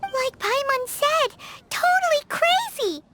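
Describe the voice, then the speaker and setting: A woman voicing a tiny, childlike fairy companion with a squeaky voice and exclamatory manner in an anime video game. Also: big pitch swings, slow pace, very noisy, exaggerated voice. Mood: alarmed, cheeky. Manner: speaks of self in third person, exclamatory.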